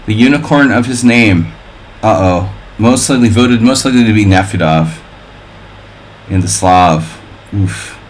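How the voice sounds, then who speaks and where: A man with a fairly low voice thinks aloud through a chess simul on his live stream, calling out moves between wry jokes and puns. A faint hum of room noise sits under his voice. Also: unhurried. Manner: wry and offhand, chatting with viewers. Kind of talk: live-stream commentary while playing a simul.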